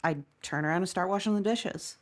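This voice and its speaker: A woman's voice: fairly low pitch, a little fast, close to an everyday voice. A woman playing a wry, weary woman who gripes sardonically and swears freely in a conversational audio drama table read. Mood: exasperated ranting. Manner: sardonic, swearing, exaggerated griping. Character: Lucretia Evans, wry, griping, weary woman football-game player.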